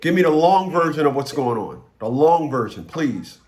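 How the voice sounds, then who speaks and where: A man's voice: on the high side, sing-song, normal speed, a little mellow. A man hosting a casual advice consultation, talking bluntly and colloquially and often repeating his words.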